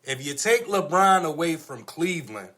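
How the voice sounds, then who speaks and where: A man's voice: on the high side, animated, moderate pace, a bit bright. A man arguing his points insistently in a casual sports debate, often repeating himself as a podcast host.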